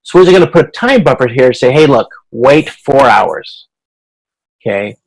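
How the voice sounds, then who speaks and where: A man with a medium-pitched voice gives an informal online lecture. He explains in a halting way, often restarting a phrase or repeating words before carrying on. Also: expressive, rough recording. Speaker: instructor. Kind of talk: informal explanatory lecture over video conference.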